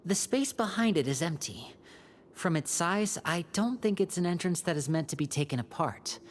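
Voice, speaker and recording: Game role, male actor: young gentleman magician, deliberate, articulate, composed thinker with a protective streak. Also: a little high, animated, a little hiss or hum, natural voice. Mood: focused. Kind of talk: video game voice acting.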